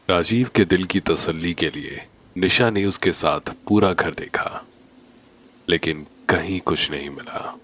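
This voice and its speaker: A man narrating a scripted horror story in a deliberate, suspenseful manner. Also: low-pitched, fairly bright tone.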